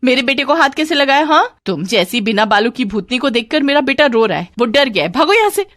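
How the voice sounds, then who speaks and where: A woman voicing an angry, protective young mother who yells rapidly, in a cartoon story. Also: sits high, very animated, no room sound, studio quality, voice pushed up. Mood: furious. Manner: rapid, yelling.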